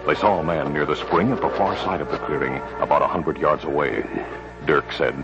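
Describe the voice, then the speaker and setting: A man's voice: rumbling low, a little fast. A man narrating in a dramatic 1950s radio style, with grand, emphatic announcer delivery. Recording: dull lo-fi vintage radio recording. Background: dramatic music.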